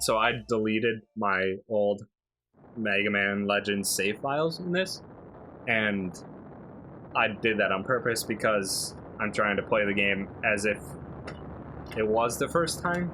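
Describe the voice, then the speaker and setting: A man's voice: fairly low pitch, a little slow, noisy background. A man giving casual, chatty game commentary and explaining things like a fan. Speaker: let's play host.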